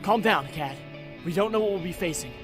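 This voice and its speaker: Guy doing a young superhero in a comic dub, kinda high voice, earnest and dramatic, music pretty loud behind him. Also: lively intonation, a little fast. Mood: urgent. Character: earnest young male superhero, lovestruck and torn about identity.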